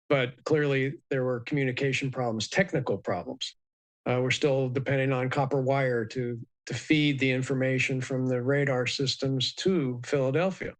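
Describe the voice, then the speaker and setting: A man giving a measured, explanatory expert commentary in a live news interview, with many ums and uhs. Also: medium pitch. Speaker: aviation expert guest.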